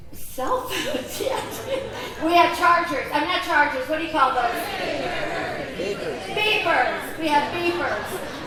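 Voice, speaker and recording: A woman's voice: high voice, sharp tone. A woman originally from Greece, giving a chatty talk to a convention audience and often asking them questions. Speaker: convention speaker. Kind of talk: presentation to an audience. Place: large convention hall. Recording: echoey hall, voice from far off.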